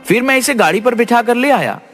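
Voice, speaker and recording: Guy doing a cartoon young village trickster, high lively voice, pushy and cunning, faint music behind. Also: brisk. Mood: earnest. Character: cunning young male villager, brash, fast-talking trickster. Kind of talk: animated Hindi moral-story cartoon acting.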